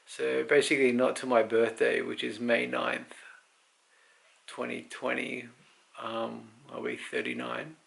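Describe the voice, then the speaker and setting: A man's voice: medium pitch, level tone, measured pace, vocal fry, a bit bright. A man in his thirties giving a casual, unscripted personal update, candid and rambling as he thinks aloud. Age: 30s. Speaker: vlogger.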